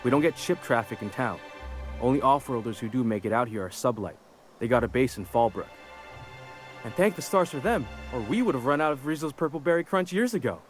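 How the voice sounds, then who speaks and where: A man's voice: mid-range voice, animated, a little fast, soft background music, lightly acted. An actor playing an eager, chummy, rambling gatekeeper clerk in a video game, a man with a friendly manner. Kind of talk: video game character dialogue.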